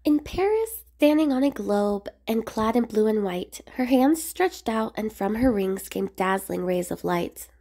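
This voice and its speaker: A woman reading a rhyming children's picture book aloud in a measured, expressive sing-song way. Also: a little high. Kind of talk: storybook read-aloud narration of rhyming verse.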